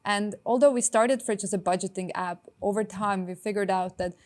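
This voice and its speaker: A young woman from Estonia, a startup founder answering interview questions in a quick, upbeat way with many filler words. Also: mid-range voice.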